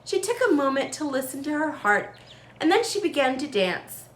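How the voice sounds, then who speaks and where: A woman storyteller reading a children's book aloud in an expressive style, leaning on key words. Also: high-pitched, normal speed, roomy sound, a little hiss or hum. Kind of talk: animated children's story narration.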